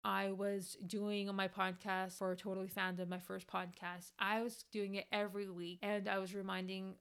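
Young woman w/ spanish accent, podcast host, smooth clear voice, earnest and encouraging. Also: medium pitch, little pitch movement, normal speed, fairly bright tone. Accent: Spanish-speaking. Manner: earnest, encouraging, many "you know" fillers. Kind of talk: casual solo podcast talk.

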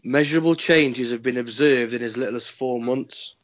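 A man co-hosting a podcast, reading out strange facts in casual chat with a deadpan delivery. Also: medium pitch, normal speed. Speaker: podcast co-host. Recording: dull lo-fi sound.

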